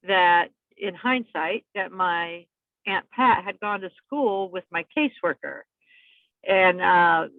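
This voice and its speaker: A woman's voice: lowish voice, no echo. A woman guest telling her life story in an interview, hesitant and full of fillers. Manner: halting, with many fillers and restarts. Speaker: podcast guest. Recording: tinny phone-like remote line.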